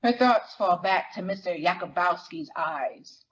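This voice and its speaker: A woman reading literary fiction dramatically, in measured, deliberate phrases with weighted pauses. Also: fairly low pitch, animated, lo-fi sound. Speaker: dramatic reader at a library performance. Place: live reading at a library event.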